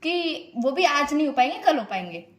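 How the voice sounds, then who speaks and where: A woman's voice: high voice, big pitch swings, moderate pace, reverberant. A young woman in a casual, argumentative call with her partner, explaining herself in an insistent, pleading way. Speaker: girlfriend in a couple's phone call.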